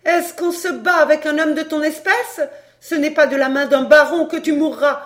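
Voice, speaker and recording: A woman as a shrill, vengeful scorned mistress. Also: fairly even intonation, normal speed. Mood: enraged. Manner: loud, biting, indignant. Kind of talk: dramatised audiobook acting.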